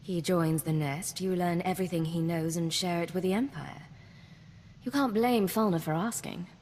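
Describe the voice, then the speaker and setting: An actress playing a composed, businesslike imperial agent in command, direct and matter-of-fact, in video game dialogue. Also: female voice, lowish voice, normal speed, darkish tone, big-room sound, a little hiss or hum, natural voice.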